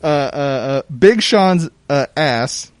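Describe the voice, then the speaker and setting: A man with a fairly high-pitched voice talks casually as a podcast co-host. He gives blunt opinions, in a dry, close sound. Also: animated, takes it slowly, clean tone, no echo. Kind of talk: casual chat.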